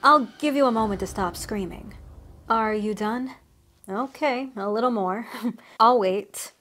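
A woman's voice: on the high side, unhurried, fairly bright tone. A woman voicing a friendly male woodland cryptid, an ancient creature with rotting flesh who chats and reassures with wry asides. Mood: chatty. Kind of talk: scripted storytelling monologue.

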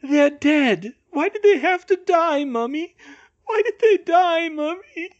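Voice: very high-pitched, animated, takes it slowly, lo-fi sound, pitched above the performer's own voice, full character voice. A performer voicing a delirious young man who pleads in childlike terror with ragged, sobbing cries. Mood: tearful terror. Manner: babbling, begging, repeating pleas.

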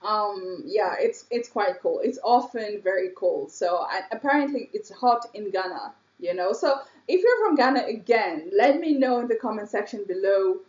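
A woman's voice: mid-range voice, lively intonation, normal speed, few pauses, darkish tone. Woman, curious reaction commentary, echoey, dull sound. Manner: curious, asks viewers questions. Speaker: reaction video host.

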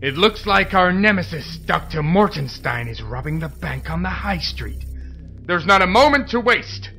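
An actor playing a self-important, penny-pinching superhero in a comedy audio drama, earnest and declamatory. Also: male voice, sits high, very animated, moderate pace, bright, forceful tone, soft background music, heavily acted. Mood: urgent.

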